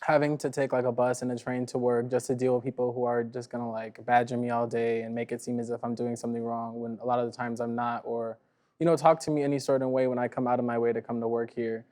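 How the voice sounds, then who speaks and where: A man's voice: mid-range voice, flat delivery, quick pace, darkish tone. Casual young man, frequent filler words. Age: early 20s. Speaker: talk show guest. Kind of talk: casual interview talk.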